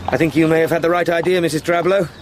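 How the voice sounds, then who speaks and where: An actor playing an eager, polite young English solicitor in a period radio drama, formal and chatty in manner. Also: male voice, a little high, steady tone, fast, slight background noise, natural voice. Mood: polite, brisk. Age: young adult. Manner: well-spoken, formal, chatty.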